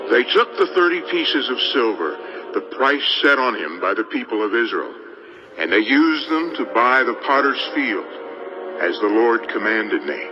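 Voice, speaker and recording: A man's voice: medium pitch, animated, measured pace, highs cut off, over music, natural voice. An actor playing a solemn male prophet reciting in a dramatised scripture reading. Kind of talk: dramatised scripture audio acting.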